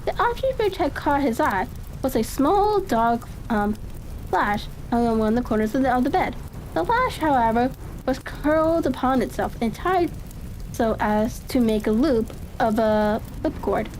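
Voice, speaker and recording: Female story reader, high voice, varied melodic intonation, slightly stumbling delivery for a relaxation reading. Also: animated, darkish tone, rough recording. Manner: halting, stumbles over phrases. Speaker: audiobook reader. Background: faint ambient noise.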